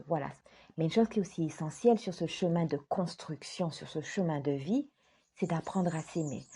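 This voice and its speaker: Woman life coach, low-pitched, mellow and husky, gentle and earnest delivery. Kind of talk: direct-to-camera talk.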